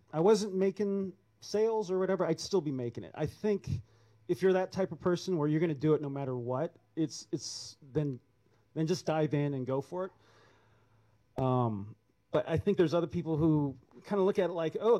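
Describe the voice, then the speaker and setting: Man, panel artist, mellow tone, rambling, self-correcting, a bit high. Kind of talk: panel discussion answer.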